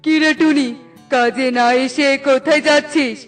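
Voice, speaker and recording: Woman as bossy eagle, accusing, high pitch, distant recording, cartoon music behind. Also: steady tone, moderate pace, close to an everyday voice. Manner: brusque, accusing. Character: bossy, suspicious eagle landowner. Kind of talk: children's cartoon dubbing.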